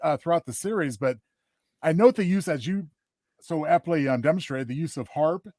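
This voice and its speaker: A man's voice: a little high, normal speed, darkish tone, no room sound, clean studio sound. A man co-hosting in casual chat, phrasing things carefully and wordily, with hesitations. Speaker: co-host.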